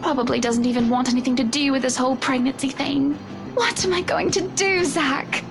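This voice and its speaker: A well-bred society wife for a visual novel. Her voice sits high, with an affectionate, dramatic and fretful manner, as if used to being pampered. Music plays behind her. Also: fairly even intonation, brisk. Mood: anxious. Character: anxious, pampered high-society pregnant wife, gracious and insistent.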